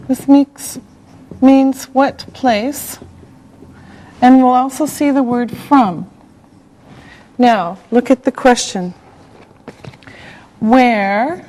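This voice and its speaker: A woman teaching a beginner English lesson. She speaks slowly and deliberately, saying one word at a time, repeating patterns and encouraging the class. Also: a little high, a little mellow, poor audio. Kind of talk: scripted language lesson for beginners.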